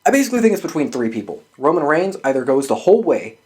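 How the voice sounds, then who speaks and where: A man's voice: on the high side, big pitch swings, quick pace, a little mellow. A man hosting a predictions show, giving casual, opinionated commentary with plenty of swearing. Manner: sweary, opinionated fan talk. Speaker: host of a wrestling predictions show.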